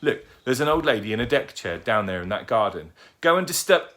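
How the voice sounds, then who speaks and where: A man's voice: mid-range voice, very animated, brisk, fairly bright tone. A man doing a tired, firm mother owl.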